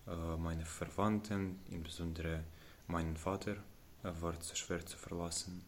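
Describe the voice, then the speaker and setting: Male, 16, Ukrainian accent. Low, slow, careful interview answers over faint noise. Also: steady tone. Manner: careful non-native phrasing. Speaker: interviewee, refugee student.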